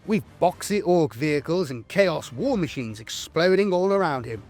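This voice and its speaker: A man's voice: on the high side, animated, moderate pace, darkish tone. Grandiose male narrator over music. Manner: grandiose, theatrical storytelling. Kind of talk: dramatic scripted lore narration. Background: background music.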